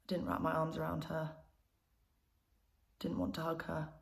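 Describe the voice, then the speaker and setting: Young woman acting, lowish voice, troubled granddaughter confiding in her mum, intimate drama monologue. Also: a little fast, lightly acted. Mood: sad, subdued. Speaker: actor performing a self-written monologue.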